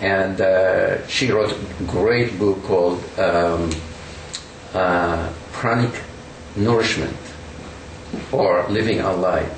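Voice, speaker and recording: A man's voice: sits low, slow. A man being interviewed, talking emphatically with many fillers. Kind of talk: casual recounting. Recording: echoey room, distant mic, faint noise.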